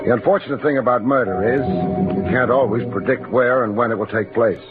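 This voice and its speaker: A man acting as a sardonic American private-eye type from a vintage radio play. His phrasing is dry and theatrical, at a medium pitch. The sound is dull and old, with music underneath. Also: a little fast, natural voice. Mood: dry, narrating. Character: wry, wisecracking male hardboiled detective. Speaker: actor, lead and narrator of a detective radio drama. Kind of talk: old-time radio detective acting and first-person narration.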